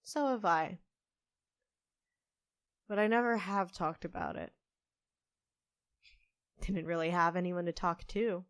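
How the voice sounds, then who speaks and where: Stubborn female barista, wry, defensive, unhurried, dry close sound, audio drama. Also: on the low side, no room sound. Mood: wistful. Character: stressed, stubborn half-human young-looking woman barista, grieving, wry.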